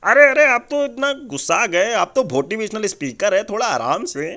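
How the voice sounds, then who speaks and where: A man's voice: high-pitched, expressive, normal speed, sharp tone. A man playing a nosy, placating caller in a comedy phone-call sketch, exclaiming and asking inquisitive questions. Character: nosy, placating male caller interviewing a motivational speaker.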